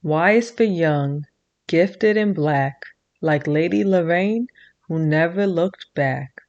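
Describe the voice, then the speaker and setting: Woman host of a kids' show, low voice, unhurried rhythmic delivery. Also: muffled recording. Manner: slow rhythmic rhyming read-aloud; bright sing-song teacher voice for kids. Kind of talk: reading a picture book aloud to kids.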